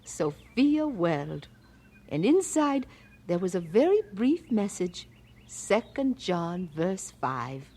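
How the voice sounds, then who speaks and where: Elderly mother, eighties, husky, animated intonation, wistful storyteller, fond, radio-play acting. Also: on the low side, slow, exaggerated voice. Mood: tender, nostalgic. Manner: wistful, gently dramatic storytelling with sighs. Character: frail, fond old missionary mother in her eighties, reminiscing.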